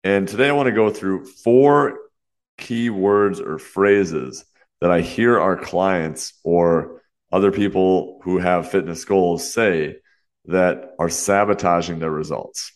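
A young man with a very low voice talks alone into a podcast mic as host of a fitness show. He sounds congested and a little nasal, and he moves along quickly and earnestly, dropping frequent "you know" fillers. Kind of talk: solo podcast talk.

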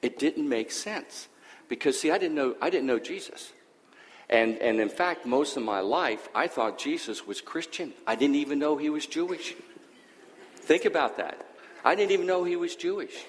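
A man's voice: fairly high pitch, moderate pace, long reverb tail. A man born in Greece sharing his personal testimony at a church, talking conversationally with hesitant fillers.

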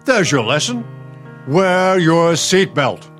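An actor playing a smug, exasperated but caring elderly husband, a retired dean, in a radio play, speaking in a dry, testy way. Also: male voice, on the high side, sing-song, slow pace, a bit bright, faint music behind, heavily acted. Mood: pained, exasperated.

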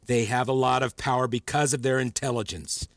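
A man's voice: medium pitch, steady tone, no room sound, clean studio sound, natural voice. An actor playing an erudite male psychology mentor in an audio drama, didactic and probing, with a patronising, controlling edge. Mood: measured, instructive. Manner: measured, didactic, teacherly. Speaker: voice actor in a fiction podcast. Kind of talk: acted audio drama dialogue.

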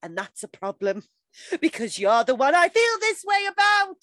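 A woman voicing a lovesick, earnest adolescent boy reading out a love letter in a drawn-out, overwrought way. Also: sits high, very animated, a bit bright, dry recording, voice pushed up. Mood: confessing.

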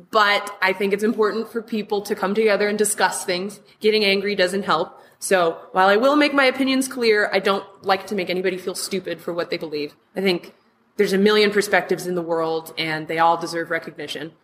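Loud, outspoken woman chatting on a podcast. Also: medium pitch, brisk, slight background noise. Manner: loud, outspoken and laughs often. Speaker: podcast guest and film reviewer. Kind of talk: casual podcast chat.

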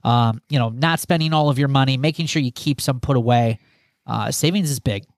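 A man's voice: mid-range voice, brisk, no room sound, studio quality. A man hosting a podcast, talking casually and rambling with many restarts. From United States. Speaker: podcast host.

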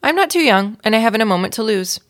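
A plucky young male mouse from a storybook, voiced by a woman, speaks in staunch, dramatic declarations. The voice is pitched up from her low talking register and pushed out firmly. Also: lively intonation, fast, lightly acted. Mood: determined, dramatic.

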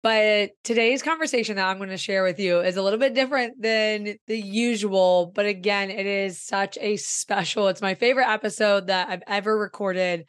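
Raspy-voiced woman podcast host, losing her voice. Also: medium pitch, normal speed, few pauses, no echo, clean studio sound. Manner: warm, effusive and upbeat. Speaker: podcast host and growth coach. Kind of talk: casual podcast talk.

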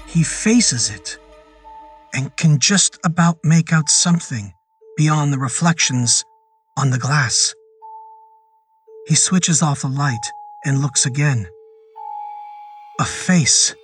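A man narrating a scripted horror story in a dramatic, suspenseful way, with deliberate phrasing and pauses. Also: fairly high pitch, husky.

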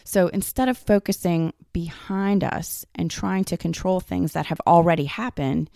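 Slightly raspy, hoarse woman with a cold, lowish voice and dry close sound, loose conversational solo podcast talk. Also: moderate pace, mellow, dry recording. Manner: loose, conversational, many "like" and "kind of". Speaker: podcast host.

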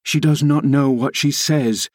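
A man as an agitated, high-pitched servant woman. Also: fairly even intonation, unhurried, a little mellow, no echo. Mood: scolding, horrified. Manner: passionate, exclaiming warnings. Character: alarmed female servant, agitated, scolding and urgent. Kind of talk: dramatised classic novel reading.

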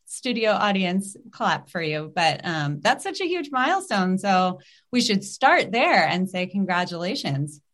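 A woman's voice: mid-range voice, sing-song, measured pace, a bit bright. A middle-aged woman hosting a podcast, chatting casually and upbeat, with lots of "like" and "um". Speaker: podcast host.

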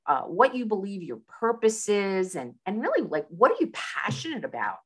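A woman's voice: mid-range voice, huge pitch swings, moderate pace, slightly rough. A woman hosting an interview, asking questions in a chatty way and repeating words as she starts a question.

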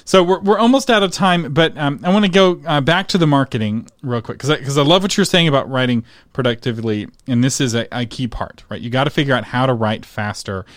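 A man's voice: mid-range voice, brisk, hardly any pauses, dry recording, clean studio sound. A man hosting an interview, explaining points emphatically and laughing easily. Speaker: interviewer host. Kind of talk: interview with coaching asides.

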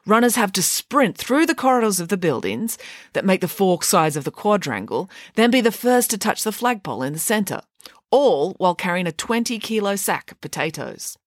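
Knowing schoolgirl, played by a woman, dry deadpan wit, children's audiobook, dry studio sound. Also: mid-range voice, normal speed, no echo, pitched above the performer's own voice, lightly acted.

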